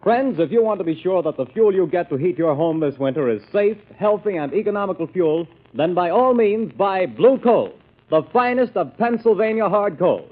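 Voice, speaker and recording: A male radio announcer with a high voice reads in an emphatic 1940s style. The delivery is formal and declamatory. It sounds thin and tinny, like an old broadcast. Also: lively intonation, normal speed, soft background music.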